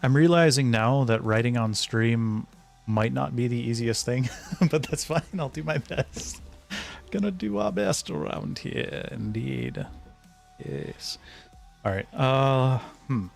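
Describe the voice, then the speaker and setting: A man's voice: mid-range voice, lively intonation, slow pace, vocal fry. A man chatting casually on a live stream as he works, thinking aloud in a hesitant, self-directed way. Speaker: streamer, indie game developer. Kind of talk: casual live stream chat while working. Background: background music.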